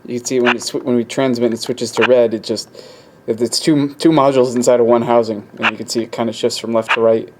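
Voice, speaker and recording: A man giving a casual, hands-on demonstration as a hobbyist video host, talking haltingly as he thinks aloud at an indoor workbench. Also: medium pitch, flat delivery. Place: indoor workbench with electronics gear. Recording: clearly noisy room ambience.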